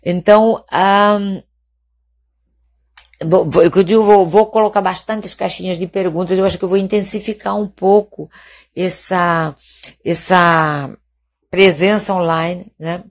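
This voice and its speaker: A woman in her fifties with a fairly low voice and a Spanish accent, native in Catalan and Castilian. She chats casually to viewers on a live stream, hesitating as she goes and often adding little tag questions to check they are following. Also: fairly even intonation, unhurried. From Mallorca, Spain. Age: 50s. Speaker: doctor hosting a live stream.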